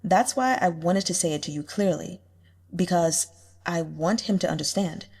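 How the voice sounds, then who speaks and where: A woman's voice: on the low side, a little mellow, breathy edge. A woman voicing a lofty, lovelorn male demon lord who speaks formally and earnestly in an audiobook.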